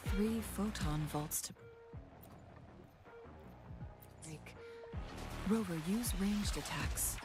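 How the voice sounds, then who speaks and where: A woman playing a composed, scholarly advisor in a video game, speaking in measured, articulate dramatic dialogue. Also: medium pitch, close to an everyday voice. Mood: focused. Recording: loud music behind the voice. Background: game music.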